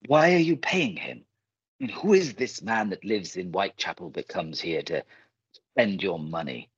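A man playing an earnest, righteous, protective gentleman in a radio play, speaking formally and theatrically. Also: low-pitched, expressive, measured pace, breathy edge, no echo, dull audio, heavily acted. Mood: storytelling.

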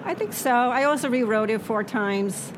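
Give me a woman with Swedish as her first language, speaking thoughtfully over clear background traffic noise. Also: fairly high pitch, animated, normal speed. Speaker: author guest. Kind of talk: interview conversation. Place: noisy spot near traffic.

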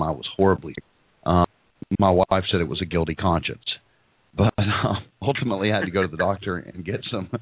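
A man's voice: sits low, breathy edge, dry recording. A middle-aged man hosting a podcast, sounding congested and froggy, rambling through announcements with many ums and restarts. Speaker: podcast host. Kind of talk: casual show announcements. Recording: tinny phone-like line.

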